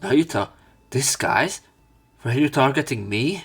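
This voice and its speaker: A man's voice: mid-range voice, animated, unhurried, a bit bright, slightly rough. A man doing a let's play, reading story narration aloud dramatically with casual commentary. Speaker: let's player.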